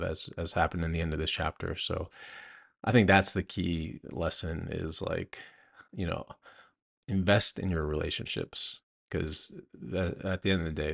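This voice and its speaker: A man with an American accent talks in a deep register. He is hosting a podcast in a loose, unscripted way, and his phrasing is halting as he feels out his words. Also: vocal fry. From United States. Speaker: podcast host. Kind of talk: casual unscripted talk.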